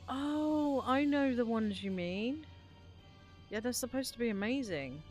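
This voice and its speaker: A woman's voice: fairly high pitch, lively intonation, measured pace, a little mellow. A woman chatting casually and playfully as she plays on a gaming live stream. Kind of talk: casual live stream chat while playing. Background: faint music.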